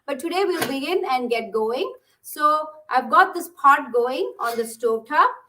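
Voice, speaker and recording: Woman, high bright voice, friendly cooking instructor, distant mic. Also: animated, unhurried. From Bangalore, India. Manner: friendly, explanatory, step-by-step. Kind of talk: casual cooking demonstration. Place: home kitchen.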